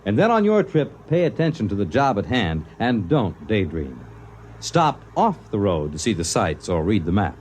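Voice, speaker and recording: A man reads a public service spot in the formal, measured style of a radio announcer. His pitch swoops widely from word to word. Faint hiss sits under the old broadcast. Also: huge pitch swings, a little mellow.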